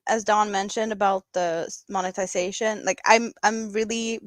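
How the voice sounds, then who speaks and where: A woman from Sweden chatting in fluent English as a relaxed guest on a casual crochet live stream. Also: mid-range voice, no echo. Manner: relaxed, laughs easily, speaks fluent English.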